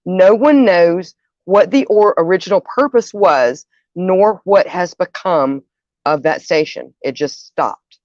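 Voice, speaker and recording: Lower-voiced woman host, dull dry recording. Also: slow, a little mellow, no room sound. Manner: stumbles over words and corrects herself. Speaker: show host. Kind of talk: live show presentation, partly read from notes.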